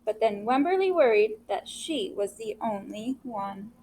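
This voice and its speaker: Woman, fairly high voice, picture-book storyteller for kids, recorded with some background noise. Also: a little slow. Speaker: educator reading a picture book aloud. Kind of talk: read-aloud storytelling with commentary for children. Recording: clear steady background noise.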